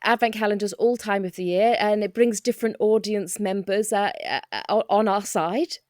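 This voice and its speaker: A woman's voice: mid-range voice, fairly even intonation, moderate pace, a little mellow, no room sound. Woman in improvised comedy, dry sound.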